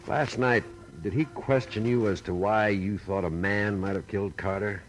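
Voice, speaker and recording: An actor playing a gruff, doubting police lieutenant in an old-time radio noir, with a measured, wry delivery. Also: male voice, fairly low pitch, fairly even intonation, lightly acted. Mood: skeptical, weary. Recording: noisy old radio drama recording.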